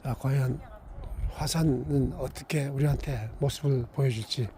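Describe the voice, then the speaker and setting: A middle-aged man with a hoarse voice from a cold, narrating his family's trip outdoors as a travel vlogger and explaining each stop. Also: medium pitch, fairly even intonation, unhurried, mellow. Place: outdoors at a desert monument site.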